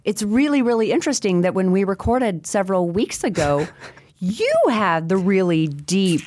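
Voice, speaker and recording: A woman co-hosting a radio talk show, speaking hoarsely after an infection, teasing and laughing often in casual chat. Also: mid-range voice, animated, normal speed, a little mellow, a little hiss or hum.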